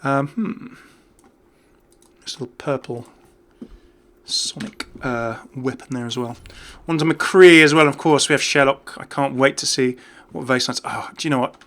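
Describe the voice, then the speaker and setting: A man's voice: medium pitch, a little slow, a bit bright. A man giving casual, off-the-cuff game commentary as a YouTuber, chatting and thinking aloud as he goes. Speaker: gaming YouTuber.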